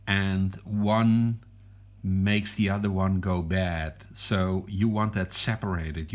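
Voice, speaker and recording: A Dutch man, a non-native English speaker, demonstrating a meter at his desk in a hesitant, halting way. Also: on the low side. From Netherlands. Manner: hesitant, halting, with many ums and restarts. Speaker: hobbyist reviewer. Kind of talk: casual product demonstration. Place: desk at home.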